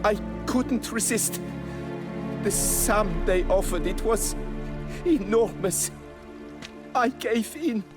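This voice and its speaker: A proud yet servile noble winemaker from a fantasy game. He speaks slowly in a raised male register, formal, deferential and haltingly anxious. Music is clearly audible behind him. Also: high-pitched. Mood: pleading. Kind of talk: video game voice acting.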